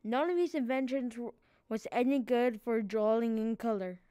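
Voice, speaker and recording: Female book reader, mellow and smooth, a little high. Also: normal speed, no room sound. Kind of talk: scripted read-aloud narration.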